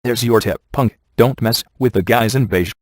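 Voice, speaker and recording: Smug, sarcastic male ghost hunter played by a male actor for a comedy video game; deadpan wisecracks, very dry studio sound. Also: medium pitch, moderate pace, no room sound, lightly acted.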